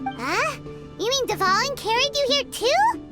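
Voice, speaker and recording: A woman voicing a tiny, excitable, childlike fairy girl companion with a squeaky voice in a video game. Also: a little slow, exaggerated voice. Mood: baffled. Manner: excitable, exclaiming. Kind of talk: animated video game voice acting. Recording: music behind the voice. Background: game music.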